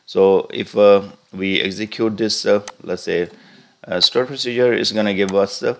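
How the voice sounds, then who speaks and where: Low-voiced man teaching a software tutorial. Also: fairly even intonation, moderate pace, vocal fry, a little hiss or hum. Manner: hesitant, many "uh" fillers and restarts. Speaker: tutorial instructor. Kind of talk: screen-recorded software tutorial. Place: voice-over on a computer screen recording.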